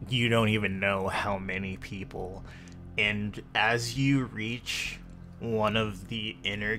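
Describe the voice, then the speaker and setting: A man narrating a tabletop horror roleplay as game master, with deliberate, descriptive storytelling. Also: lowish voice, sharp tone. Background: clear background music.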